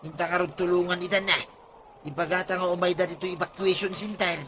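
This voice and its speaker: A man's voice: high-pitched, flat delivery, quick pace, a bit bright. A man playing an argumentative, scolding village husband in a radio comedy drama, with an Ilocano accent. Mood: complaining. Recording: radio drama with clear background noise.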